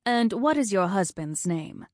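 A woman voicing a warm, motherly hospital receptionist with a calming, soothing manner. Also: medium pitch, a little slow, no room sound, clean studio sound.

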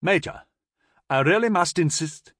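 Shrill, pompous young aristocratic lieutenant. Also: male voice, lively intonation, measured pace, a bit bright, slightly rough, no echo, studio quality, heavily acted. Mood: frustrated insistence. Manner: pompous, indignant, blustering. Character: young aristocratic army lieutenant, obnoxious, entitled, shrieking.

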